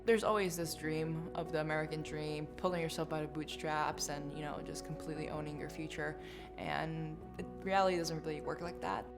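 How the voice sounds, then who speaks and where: A woman's voice: deep voice, steady tone, fairly quick, gravelly, loud music behind the voice. A woman from India giving an interview testimonial as a scholarship recipient.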